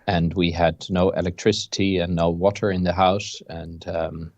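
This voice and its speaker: Guest, Dutch man, about fifty, very low voice, calm storyteller, dull and dry call audio. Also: moderate pace, no room sound. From Netherlands. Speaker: interview guest, game designer. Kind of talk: casual video-call interview.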